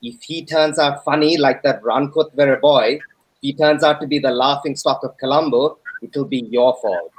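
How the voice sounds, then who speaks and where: A man's voice: mid-range voice, normal speed, breathy edge, close to an everyday voice, low-quality recording. A man playing a stern, reproachful father in a dramatic reading of a family story. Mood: stern, accusing. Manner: blaming, reproachful.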